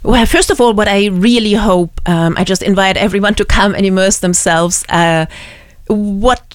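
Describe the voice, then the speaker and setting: A woman's voice: mid-range voice, moderate pace, no echo, clean studio sound. A woman with a German accent, a museum curator who answers interview questions thoughtfully, with many ums and restarts.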